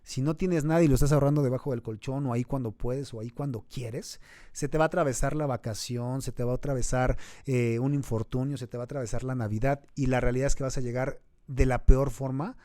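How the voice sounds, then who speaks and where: Mexican man, 30s, mellow low-bright timbre, dry close sound; earnest financial advisor type. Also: fairly even intonation, fast, no echo. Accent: Mexican Spanish. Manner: earnest, advising, builds to emphatic points. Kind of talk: explanatory interview talk.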